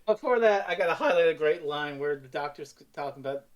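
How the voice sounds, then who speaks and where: Lower-voiced, blunt woman chatting. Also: lively intonation, fairly quick, highs cut off. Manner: blunt, sweary. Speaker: podcast co-host recapping the story. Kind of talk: casual chat.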